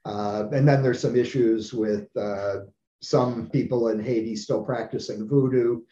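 A man from the United States, an eye surgeon being interviewed, explaining his work in a measured way with frequent pauses. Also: mid-range voice, fairly even intonation, mellow, echoey room, highs cut off. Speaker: ophthalmologist guest. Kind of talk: conversational interview.

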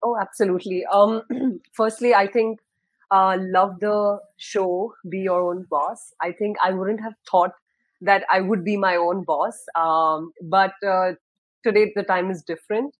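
A woman in her thirties from Dubai talks about her career on a podcast. Her speech is relaxed and reflective, full of small pauses. The recording is dry and close, with no room sound. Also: medium pitch, no echo, low-quality recording. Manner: thoughtful, many fillers and restarts. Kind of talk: casual interview talk.

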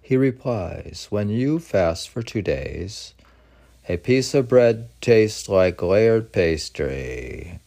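A man in his sixties with a very low, creaky voice. He rambles casually and reads poetry, musing in halting fragments. The pace is very slow. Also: a little mellow. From United States. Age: 60s. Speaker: poet. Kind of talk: casual rambling talk mixed with poetry reading.

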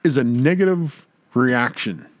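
Man, podcast co-host, unhurried pace, dry close sound, emphatic, argumentative, casual talk. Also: medium pitch, animated, no echo. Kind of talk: casual opinionated pop culture chat.

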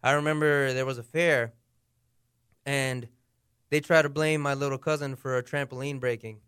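A young man from the United States, a guest in a casual chat, telling frank stories in a relaxed way. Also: medium pitch, moderate pace, clean tone, no room sound, clean studio sound. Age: young adult.

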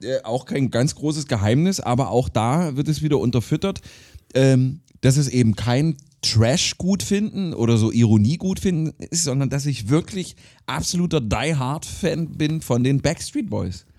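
A man in his thirties trading jokes on a casual podcast, animated as he spins his stories. His voice sits in a mellow, darker register at an ordinary pitch. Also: moderate pace. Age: 30s. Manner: animated storyteller, jokey. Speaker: podcast co-host. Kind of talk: casual banter about music.

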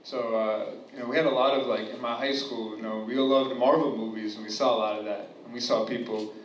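A man's voice: mid-range voice, steady tone, a little fast. A young man from New Jersey doing a crude, rambling stand-up set, full of fillers and laughing at his own jokes. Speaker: stand-up comic at an open mic. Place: comedy club room with a live audience. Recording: echoey room, far from mic, loud hiss.

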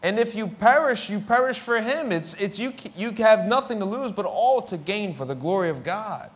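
A middle-aged male pastor with a Philadelphia accent, preaching with dramatic swings between loud declarations and hushed words, and acting out conversations as he tells stories. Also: high voice, animated, moderate pace. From Philadelphia inner city. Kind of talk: sermon.

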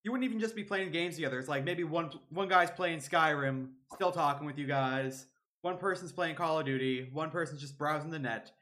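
A man's voice: fairly high pitch, brisk, sharp tone. A young man co-hosting a casual podcast, reminiscing in an easygoing way. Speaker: podcast co-host. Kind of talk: casual chat.

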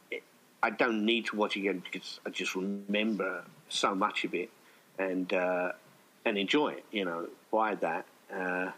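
A man's voice: on the low side, a bit bright, rough recording. A middle-aged man chatting casually as an interview guest, talking in a rambling, conversational way that often circles back. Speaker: guest filmmaker being interviewed.

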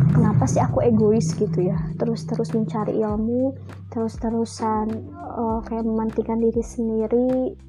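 A woman's voice: on the high side, warm tone. A young woman hosting her first solo podcast, talking in a chatty, reflective way with many fillers. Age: young adult. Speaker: podcast host. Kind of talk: casual solo podcast monologue.

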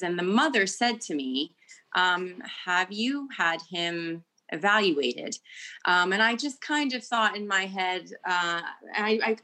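A middle-aged woman as a podcast guest, telling a personal story with many pauses and ums. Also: lowish voice, bright, forceful tone.

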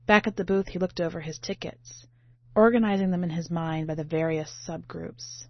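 Female short-story narrator, lower register, roomy and slightly dull podcast sound. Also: steady tone, normal speed. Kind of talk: literary audiobook-style narration. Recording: muffled, roomy home recording.

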